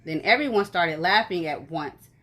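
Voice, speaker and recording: Woman storyteller with lively, swooping intonation. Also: on the low side, normal speed. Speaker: storyteller reading aloud. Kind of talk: expressive children's story narration.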